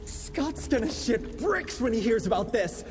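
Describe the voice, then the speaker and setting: A chatty young American scientist from a video game, high-pitched and animated. He is nerdy, eccentric and cheeky, speaking over loud music. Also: a little slow, full character voice. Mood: awed, chatty. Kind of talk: video game cutscene acting.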